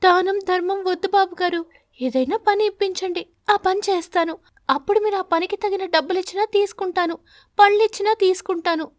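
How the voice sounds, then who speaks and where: A woman voicing a poor mother sparrow in an animated story, humble and imploring, begging respectfully for work. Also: very high-pitched, a little fast, no room sound, studio quality, voice pushed up. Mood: pleading.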